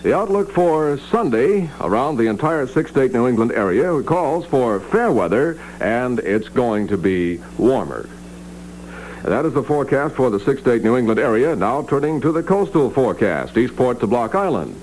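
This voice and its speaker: Lively 1960s radio newsman. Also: medium pitch, moderate pace, poor audio. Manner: polished, formal announcer delivery. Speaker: radio news announcer. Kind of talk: 1960s radio newscast and sponsor copy, scripted. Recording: 1960s AM radio aircheck, hum and hiss.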